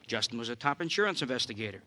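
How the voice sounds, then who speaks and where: An actor playing an earnest, anxious police sidekick in a 1950 radio crime drama, colloquial and pleading. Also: male voice, mid-range voice, very animated, rapid-fire, sharp tone. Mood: insistent. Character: excitable, loyal male police sidekick, anxious and plain-spoken.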